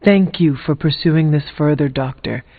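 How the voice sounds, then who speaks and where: A stoic, higher-voiced warrior hunter. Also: male voice, no room sound. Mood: reserved, courteous. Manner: formal, reserved, deliberate. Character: stoic, guarded male demon-hunting warrior, formal and grave. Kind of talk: scripted video game character dialogue. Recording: tinny band-limited game audio over music.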